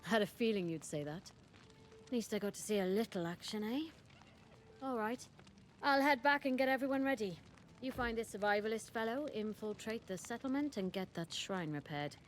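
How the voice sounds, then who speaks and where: An actress playing a pragmatic, plucky ranger comrade in a video game, casual, confident and matter-of-fact. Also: female voice, fairly high pitch, expressive, normal speed, soft background music, close to an everyday voice. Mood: determined. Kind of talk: video game character dialogue.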